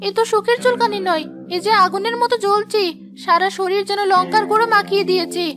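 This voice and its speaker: Woman playing a very high-pitched, theatrical young village wife. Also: fairly even intonation, fast talker. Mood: distressed. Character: vain, gullible young village wife, desperate to be fair-skinned. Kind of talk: Bengali cartoon moral drama voice acting.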